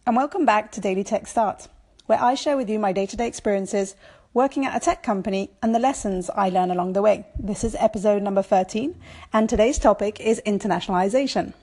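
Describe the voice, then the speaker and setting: A woman's voice: mid-range voice, fairly quick, darkish tone. Brief: woman podcast host, solo monologue, conversational and thoughtful, lots of ums, corrects herself mid-sentence. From born in France, raised in Brussels.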